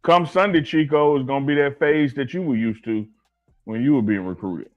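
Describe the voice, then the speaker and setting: A man's voice: medium pitch, moderate pace, muffled recording. Playful man with music behind. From Selma, Alabama. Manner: playful, joking, teasing his co-host. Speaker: co-host. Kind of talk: casual sports chat.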